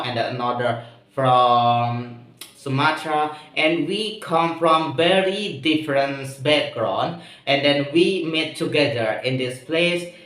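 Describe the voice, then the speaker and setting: A young man from Indonesia with an Indonesian accent, speaking English as a second language in a casual spoken diary. He talks haltingly, with frequent pauses and restarts. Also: mid-range voice, fairly bright tone, roomy sound, poor audio. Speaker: student vlogger practicing English.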